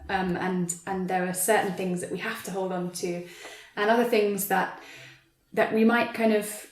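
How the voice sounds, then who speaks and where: A woman talking thoughtfully in an interview as a writer, choosing her words carefully and often pausing to rephrase. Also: mid-range voice, steady tone. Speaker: writer adapting an audio drama for stage. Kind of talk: thoughtful conversational interview talk. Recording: roomy, echoey sound.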